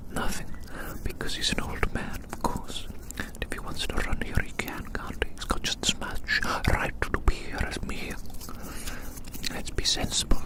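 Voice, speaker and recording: An ASMR performer whispering a rambling, theatrical roleplay monologue outdoors, softly repeating phrases in wordy language. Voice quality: soft unvoiced whisper. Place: outdoors in a large open field.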